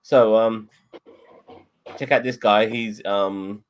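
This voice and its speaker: A man hosts a casual news podcast, chatting in an easygoing way. His voice is low, and he often stops and restarts his sentences. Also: slight background noise. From London. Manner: relaxed, halting, many restarts. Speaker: podcast host. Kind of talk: casual chat.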